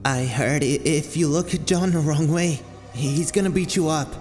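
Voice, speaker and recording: A man plays a gossiping accuser in a comic dub flashback. The voice is slightly high and trails long reverb, with faint music behind. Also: normal speed, darkish tone, lightly acted. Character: remembered accusers from a bitter flashback. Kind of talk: amateur comic dub voice acting.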